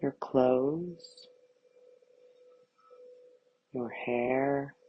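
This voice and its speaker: A woman guiding a yoga nidra meditation with calm, soothing, measured scripted instructions. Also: extremely low pitch, dull audio.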